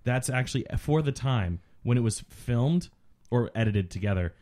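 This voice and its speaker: A man's voice: medium pitch, lively intonation, moderate pace, warm tone. A man co-hosting a casual film commentary podcast, chatty and jokey, sharing effects trivia. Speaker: podcast co-host.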